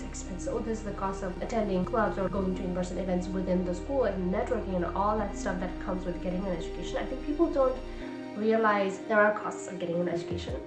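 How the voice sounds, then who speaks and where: A woman's voice: mid-range voice, reverberant. A woman in her twenties from Nepal, talking in a casual, reflective vlog full of "like" and "you know.". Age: 20s. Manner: chatty, reflective, many fillers like "like" and "you know". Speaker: vlogger and coach. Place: home in California.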